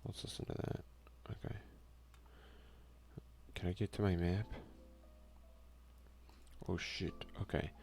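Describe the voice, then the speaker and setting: Man, deep voice, gravelly fry, soft-spoken casual gaming commentary, muttered asides. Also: steady tone, takes it slowly, long pauses, faint music behind. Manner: reads game text aloud, mutters offhand asides. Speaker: let's play host.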